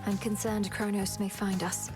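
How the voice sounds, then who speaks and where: A woman voices a dutiful young witch princess in a video game. Her medium-pitched voice is earnest, courteous and resolute. Music runs clearly behind her speech. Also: level tone, normal speed, natural voice. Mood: polite, resolute. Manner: formal, polite, earnest. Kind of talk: scripted video game dialogue.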